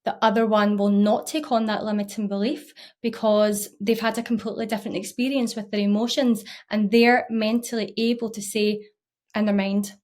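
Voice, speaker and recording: A woman's voice: mid-range voice, little pitch movement, moderate pace, darkish tone. A woman in her thirties with a Scottish accent, talking to camera as a mindset coach in an earnest, encouraging way. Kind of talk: casual talk to camera.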